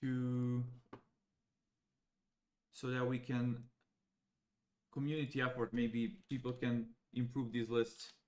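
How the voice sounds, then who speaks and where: A young man from Serbia, a native Serbian speaker, thinking aloud as he walks viewers through code, halting and casual. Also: medium pitch, flat delivery, a little mellow. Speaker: AI educator. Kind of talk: live coding walkthrough.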